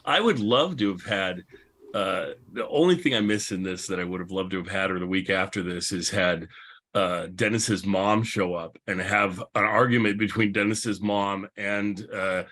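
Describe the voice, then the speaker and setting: Guy on a discussion panel, a bit deep, just chatting casually. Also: normal speed, fairly bright tone.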